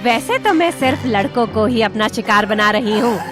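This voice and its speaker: A woman playing a vengeful witch ghost in an animated horror story, gloating and taunting with drawn-out threats. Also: on the high side, over music, lightly acted.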